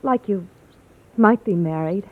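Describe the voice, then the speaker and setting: An actress playing a tender, anxious, loyal sweetheart in a vintage radio drama, hesitant and sincere. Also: female voice, medium pitch, a little slow, faint music behind, lightly acted. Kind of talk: 1940s-50s radio drama acting. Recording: tinny old radio recording.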